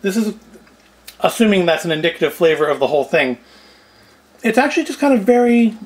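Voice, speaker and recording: A man's voice: high-pitched, lively intonation, a little fast. A middle-aged man giving casual cooking and food review commentary with dry, self-deprecating humour. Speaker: video host. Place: indoors at home, kitchen and tabletop set.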